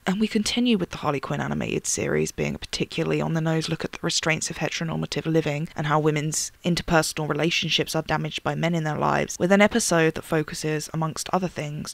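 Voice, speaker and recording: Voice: medium pitch, steady tone, fast, creaky, hardly any pauses, fairly bright tone, no room sound. A narrator with a slight lisp giving a wry, sweary, emphatic video essay rant.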